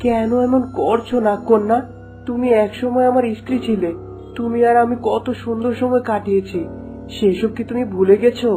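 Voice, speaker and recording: A man's voice: extremely high pitch, fairly even intonation, moderate pace, dark tone, soft background music. A man playing a remorseful, lovelorn folk-tale hero in an earnest, melodramatic cartoon style. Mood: earnest, urgent.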